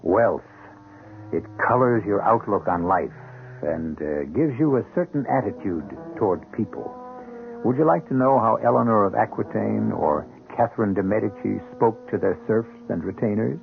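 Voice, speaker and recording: A man playing a wry, ominous storyteller hosting a radio mystery, with a theatrical, deliberate, sardonic delivery. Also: fairly low pitch, animated, vocal fry. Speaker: radio drama host and narrator. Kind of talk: 1970s radio drama narration. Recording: tinny vintage radio recording, background music.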